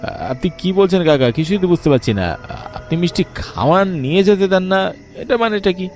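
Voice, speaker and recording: A man voicing a bold, animated young man among friends in a cartoon ghost story. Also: high voice, a little fast, faint music behind, voice pushed up. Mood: eager.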